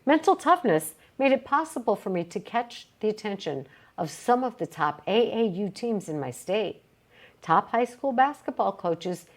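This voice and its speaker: A woman reading a motivational self-help book aloud, with emphatic, deliberate phrasing and short pauses. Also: a little high. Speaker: reader of a book read aloud.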